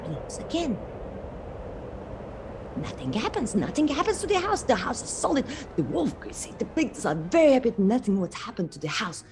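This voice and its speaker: A woman's voice: a little high, very animated, moderate pace, noisy background. A woman with a non-native English accent telling a fairy tale to children theatrically, often putting questions to her listeners. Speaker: children's storyteller.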